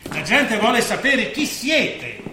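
A man's voice: very high-pitched, sing-song, takes it slowly, pushed and bright, full character voice. A man acting on stage in an Italian drama, playing a celebrated writer who is urbane, condescending and declamatory. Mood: condescending, curious. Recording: very echoey hall, faint background noise.

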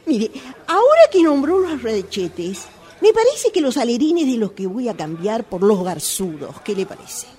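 A woman's voice: a little high, big pitch swings, normal speed, mellow. A woman playing a fussy, indecisive, affected lady customer with an Argentine accent in a comic radio play. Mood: fussy, dithering. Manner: theatrical, dithering, pretentious. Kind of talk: comic radio theatre acting.

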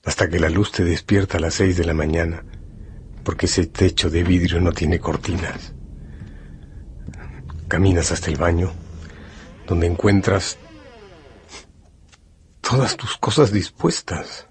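A man's voice: deep voice, measured pace, long pauses. A man narrating a radio drama in a scripted, dramatic storytelling style. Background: music.

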